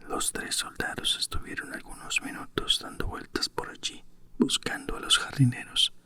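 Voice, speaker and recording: Whispering storyteller from a hushed reading, Spain accent, male. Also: moderate pace, dry recording. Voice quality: breathy, unvoiced whisper. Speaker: narrator reading a children's story.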